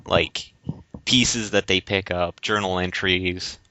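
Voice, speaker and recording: A man co-hosting a casual discussion podcast, explaining things in a halting, rambling way. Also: deep voice, fairly even intonation, bright, forceful tone, dry recording. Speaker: podcast co-host. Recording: dull, lo-fi voice recording.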